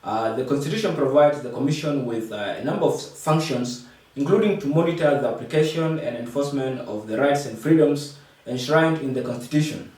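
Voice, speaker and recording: A man with a South Sudanese accent hosts a formal interview in a very echoey room, far from the microphone. He speaks in a measured, halting manner at a medium pitch. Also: a little mellow. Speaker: host and producer.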